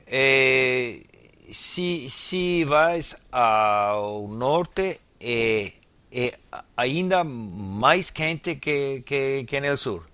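A man whose first language is English, hosting in halting learner's Portuguese with Spanish slips and lots of fillers as he searches for words. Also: medium pitch, expressive, pushed and bright, clean tone, no room sound. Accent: English-speaking learner of Portuguese, Spanish slips. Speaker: podcast host. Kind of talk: casual conversation.

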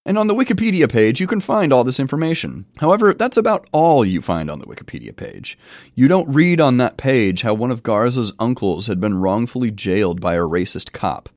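A middle-aged man with a cold hosts a solo podcast, wry and self-deprecating. His pitch rises and falls a lot as he explains. The sound is close and dry, with no room around it. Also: sing-song, brisk, darkish tone, no room sound. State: has a cold. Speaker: podcast host and professor. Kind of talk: solo review podcast monologue.

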